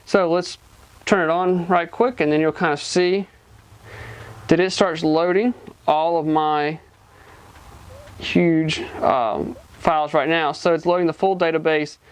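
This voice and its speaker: Clear-voiced casual gadget demonstrator. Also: mid-range voice, fairly even intonation, a little slow. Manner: informal, explains step by step. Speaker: gadget reviewer. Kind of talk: casual hands-on product demonstration.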